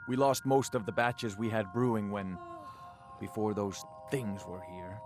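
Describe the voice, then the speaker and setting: An actor playing an unruffled, friendly mead brewer in a video game, easygoing in manner. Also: male voice, on the low side, fairly even intonation, unhurried, a little mellow, close to an everyday voice. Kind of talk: video game character dialogue. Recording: music behind the voice.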